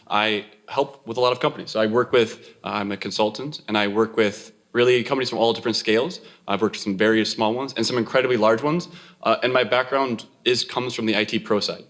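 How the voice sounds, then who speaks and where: A man from Wisconsin with an American accent and a fairly low voice. He talks conversationally as an IT consultant on a panel. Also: fairly bright tone.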